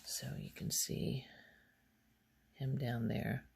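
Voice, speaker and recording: A woman speaking softly in casual ASMR style, often slipping toward a whisper, halting as she thinks aloud. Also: a bass voice, creaky, noisy background. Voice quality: soft, often breaking into whisper. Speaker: ASMR video host. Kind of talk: soft-spoken ASMR, casual rambling show-and-tell.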